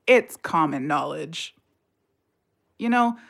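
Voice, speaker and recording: A woman's voice: deep voice, animated, takes it slowly, gravelly, exaggerated voice. An actress playing a bitter, sardonic widowed mother of three in a naturalistic audio play, blunt and colloquial in her talk. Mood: wry, teasing. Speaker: voice actor in audio drama.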